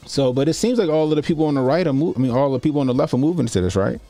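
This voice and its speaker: A man's voice: fairly high pitch, vocal fry, mellow. A man in his forties doing casual livestream commentary as a YouTube host, talking informally and repeating phrases for emphasis. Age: 40s.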